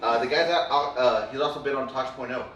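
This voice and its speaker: A man tells a winding story in loose, casual chat, stumbling through fillers and tossing in swear words. His voice sits at an ordinary pitch. He is heard a little way off the mic in an echoing room, with a faint hum behind him. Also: fast talker, dull audio, a crowd in the background. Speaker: podcast co-host. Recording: roomy, distant mic, faint room noise.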